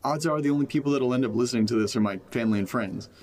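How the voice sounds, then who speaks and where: A man's voice: medium pitch, very fast, a little mellow, natural voice. An actor playing a rambling, self-deprecating young man recording a casual, joking audio diary. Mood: wry, upbeat. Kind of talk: found-footage audio drama, casual podcast monologue.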